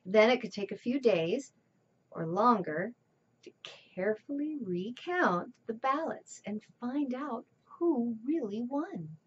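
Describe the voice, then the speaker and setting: A woman reading a children's storybook aloud with deliberate, theatrical emphasis. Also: fairly high pitch, animated, slightly rough, muffled recording. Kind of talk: expressive read-aloud narration.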